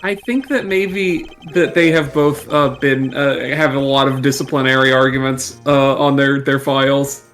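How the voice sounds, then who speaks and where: A streamer giving casual joking commentary while playing a game, wisecracking and animated. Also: mid-range voice, faint music behind. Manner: wisecracking, animated, slips into quick asides.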